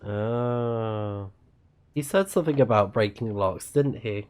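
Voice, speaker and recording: A man's voice: lowish voice, slow pace, clear, resonant tone. A man giving casual live let's play commentary, musing aloud and reacting as the game goes. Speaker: game streamer.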